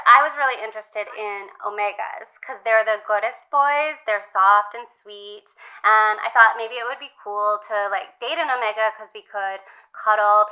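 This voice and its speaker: A woman playing an earnest, boy-crazy sixteen-year-old high school girl in a comedy call-in, chatting casually with plenty of filler. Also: close to an everyday voice. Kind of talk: improvised comedy call-in interview. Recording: dull, lo-fi sound.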